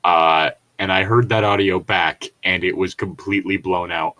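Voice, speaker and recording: Low-pitched man in rambling casual commentary. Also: fairly even intonation, moderate pace, bright, forceful tone, poor audio. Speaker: podcast co-host. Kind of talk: casual reading with joking commentary.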